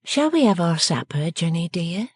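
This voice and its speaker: Storybook role: soothing young woman, acted by a reader, lowish soft voice, affectionate and reassuring. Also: very animated, moderate pace, slightly rough, dry recording. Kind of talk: storybook character acting.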